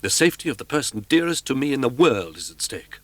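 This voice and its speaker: A man doing a frantic young nobleman, stammering, breathless pleading, swinging pitch, dry close sound. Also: sing-song, fast talker, dry recording, natural voice. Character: anxious young male nobleman, urgent and stammering.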